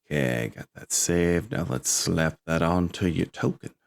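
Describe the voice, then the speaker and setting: Man, low pitch, casual game master, informal slang, relaxed online chat. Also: a little slow, vocal fry.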